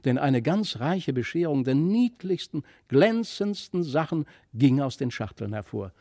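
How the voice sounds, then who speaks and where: A man's voice: a little high, big pitch swings, unhurried, warm tone, dry recording, studio quality. A man narrating a literary fairy tale expressively, as an audiobook reader.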